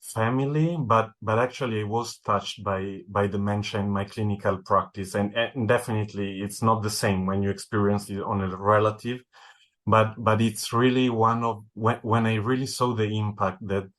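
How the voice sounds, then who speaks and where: A man with an Italian accent and a fairly low voice, answering as a doctor being interviewed. He speaks thoughtfully and often repeats a word while he finds the next one. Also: fairly even intonation, moderate pace, fairly bright tone. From Italy. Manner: thoughtful, often repeating words as he searches for them. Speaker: medical director, neurologist. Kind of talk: interview guest.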